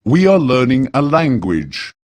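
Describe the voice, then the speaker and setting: A man giving slow, measured translations of phrases in a language lesson. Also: mid-range voice, sing-song, no echo. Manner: deliberate, measured delivery. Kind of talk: slow spoken translations for learners.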